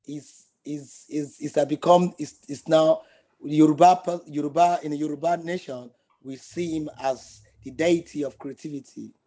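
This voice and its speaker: Husky, mellow man, halting speech. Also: on the high side, fairly even intonation. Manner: halting, repeats words, laughs easily. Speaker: interview guest. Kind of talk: casual interview answers.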